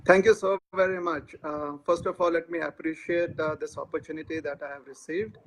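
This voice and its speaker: A man, speaking as a guest in a live interview, pausing often with filler sounds. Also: fairly high pitch, steady tone. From India. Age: 40s. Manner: hesitant, many filler sounds.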